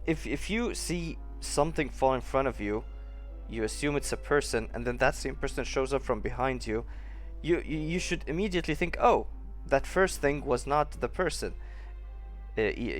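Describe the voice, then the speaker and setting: Male game streamer, medium pitch, casual commentary, sceptical, halting delivery, faint music behind. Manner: sceptical, halting, thinking aloud. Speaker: gaming streamer reacting to a playthrough.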